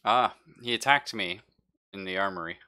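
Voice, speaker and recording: Male game master, low and forceful voice, improvising haltingly at the table. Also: smooth. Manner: halting, thinking aloud.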